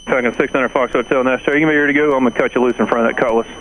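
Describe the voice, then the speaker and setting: A man's voice: mid-range voice, steady tone, rapid-fire. A man working as an airport tower controller, giving clipped instructions over an aviation radio. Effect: aviation radio transmission. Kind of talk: rapid clipped radio air traffic instructions. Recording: noisy air traffic radio audio.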